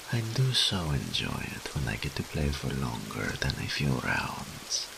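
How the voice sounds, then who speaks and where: An ancient, seductive incubus demon speaks in an extremely low, heavily gravelly voice. His delivery swings dramatically in pitch as he teases and boasts with theatrical arrogance, as in an intimate audio roleplay addressed straight to the listener. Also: background noise, full character voice. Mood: seductive, teasing. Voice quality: very deep, gravelly. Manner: slow, theatrical, teasing, pompous.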